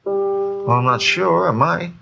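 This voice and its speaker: An actor playing an evasive middle-aged barfly in a 1950s radio drama, hesitant and repetitive, with a gravelly voice. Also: male voice, on the high side, expressive, slow pace, highs cut off, over loud music, full character voice. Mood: sly, wheedling. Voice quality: gravelly, husky.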